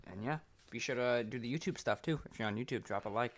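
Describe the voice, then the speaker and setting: A man's voice: mid-range voice, fast. A man co-hosting a podcast in casual banter, with a crude, joking, sweary manner. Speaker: podcast co-host. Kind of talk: casual banter between friends.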